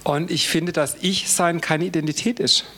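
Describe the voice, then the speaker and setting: A man leading a panel discussion in a fairly high voice. He talks haltingly and repeats words when he gets stuck. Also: fairly even intonation, bright, forceful tone, breathy edge. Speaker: panel moderator.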